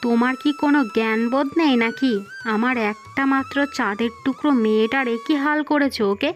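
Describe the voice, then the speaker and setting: High-pitched dramatic mother-in-law played by a woman. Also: a little fast, faint music behind, lightly acted. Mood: indignant, scolding. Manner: scolding, emphatic, repeats words for effect. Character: proud, protective middle-aged mother-in-law, scolding and dramatic. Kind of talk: melodramatic cartoon voice acting.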